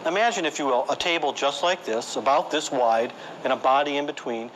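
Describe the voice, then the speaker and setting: A man's voice: mid-range voice, brisk, a bit bright, a little hiss or hum, rough recording. A man explaining emphatically and demonstratively as an interviewed documentary expert.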